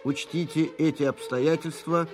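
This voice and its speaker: An actor playing a stern Soviet officer who reads out urgent intelligence messages in a formal, precise, impersonal way. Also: male voice, fairly high pitch, fairly even intonation, moderate pace, lightly acted. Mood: urgent, official. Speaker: reader of official documents. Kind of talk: formal dispatch reading in a radio play. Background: music.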